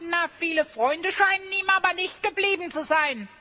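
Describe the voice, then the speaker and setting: A pert, sharp-tongued adventurer's companion in a fantasy audio drama. The voice is extremely high and piercingly bright, with theatrical delivery. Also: female voice, animated, moderate pace, heavily acted. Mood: mocking. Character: sharp-tongued, mocking female companion of the heroes. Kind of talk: German fantasy audio play acting.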